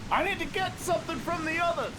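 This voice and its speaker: Brisk male elf warrior, high and animated, clipped formal delivery, rain-like noise behind. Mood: hurried. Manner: hurried, clipped, formal phrasing. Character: nimble male elf warrior, brisk, earnest, exasperated under pressure. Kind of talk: fantasy audio drama acting.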